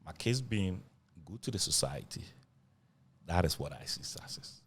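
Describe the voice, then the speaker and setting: Low-ish guy host, reflective, lots of "you know", soft. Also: expressive, normal speed, husky. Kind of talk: casual discussion.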